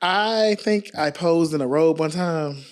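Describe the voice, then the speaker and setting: A man's voice: on the high side, measured pace. An American man from Texas, a podcast guest telling stories casually in a playful, candid, emphatic way. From Dallas, Texas. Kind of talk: casual storytelling chat.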